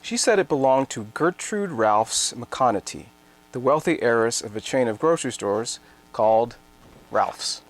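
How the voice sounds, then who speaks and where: A man reading scripted narration for a dramatic reading in a measured, factual manner. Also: medium pitch, expressive.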